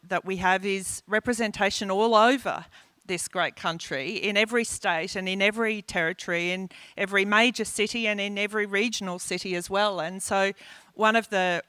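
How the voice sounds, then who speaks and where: A woman's voice: mid-range voice, a bit bright, clean tone, dry recording. A woman speaking as a corporate executive on a conference panel, earnest and enthusiastic with many restarts. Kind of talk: panel discussion. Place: conference panel at a live event.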